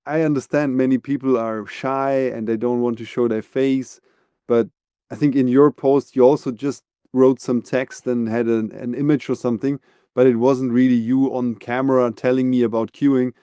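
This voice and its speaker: A man's voice: mid-range voice, fairly even intonation, mellow, clear, resonant tone, no echo, studio quality. A man hosting a podcast, talking earnestly and giving advice in a casual interview. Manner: earnest, advising, measured with fillers. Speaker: podcast host.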